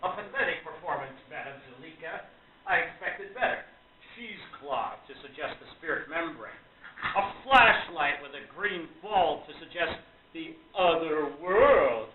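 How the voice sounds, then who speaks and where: A woman's voice: sits low, expressive, a little slow. A woman playing a scornful, triumphant male magician in a radio play, declamatory and mocking. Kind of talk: stage radio play acting. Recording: tinny, echoey, distant with crowd noise.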